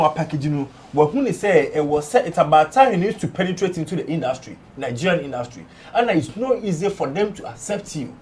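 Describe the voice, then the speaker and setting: A man's voice: a little high, darkish tone, a little hiss or hum. A man with a Ghanaian accent giving his views on a studio entertainment show, switching between English and Twi. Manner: mixes English with Twi, emphatic. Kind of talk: casual studio discussion.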